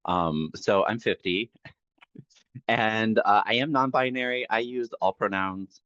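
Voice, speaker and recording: Deep-voiced podcast guest in their forties. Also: male voice, slow, dry recording, lo-fi sound. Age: 40s. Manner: relaxed, candid, laughs easily. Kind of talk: casual podcast interview.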